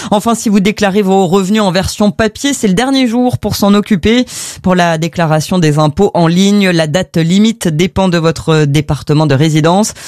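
A woman presenting a radio news bulletin with a crisp, steady delivery. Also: fairly low pitch, no room sound, studio quality.

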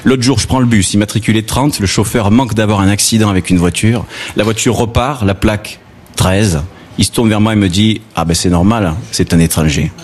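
A man's voice: fairly low pitch, a little fast. A male comedian from southern France with a Gard accent, performing a wry stand-up monologue and addressing the audience directly. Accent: southern French, Gard. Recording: faint background noise.

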